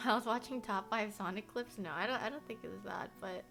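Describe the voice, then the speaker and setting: A woman's voice: medium pitch, gravelly, fairly bright tone. A young woman whose first language is Korean, livestreaming casual chat with viewers. She rambles, fills her talk with "like," and laughs often.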